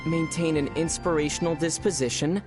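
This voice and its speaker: A man playing a young traveler hero in a video game, calm and measured, with a wry, teasing manner. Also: on the high side, close to an everyday voice. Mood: calm, thoughtful. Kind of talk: English video game voice acting. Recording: music behind the voice. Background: music.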